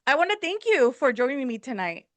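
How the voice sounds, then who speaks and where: Bright, slightly high-voiced woman podcast host from Mexico, casual and chatty, mixes in Spanish, dry close sound. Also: big pitch swings, fairly quick, no room sound. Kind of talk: casual chat.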